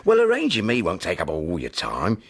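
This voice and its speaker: An actor playing a brash, boozy working-class painter, blustering and blunt in slangy radio-drama style. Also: male voice, sits low, wildly swooping pitch, normal speed, husky, full character voice. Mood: relaxed, persuasive. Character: brash, boozy working-class celebrity painter, abrasive self-promoting man.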